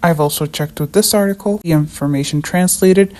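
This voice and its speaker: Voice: mid-range voice, brisk, dark tone. A narrator, a native Somali speaker, reads a scripted debunking in an indignant tone. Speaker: video essay narrator.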